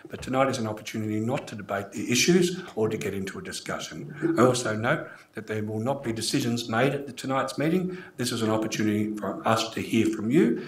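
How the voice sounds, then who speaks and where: An older man with an Australian accent, formally chairing a meeting as mayor in a measured, procedural manner. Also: on the low side. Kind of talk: formal scripted meeting address. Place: indoor council chamber. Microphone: gooseneck desk microphone. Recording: echoey room, clear background noise.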